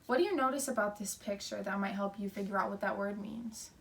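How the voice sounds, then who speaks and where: A woman's voice: medium pitch, a little fast, far from the mic, slight background noise. A woman teacher leading an interactive read-aloud for children, explaining simply and asking questions.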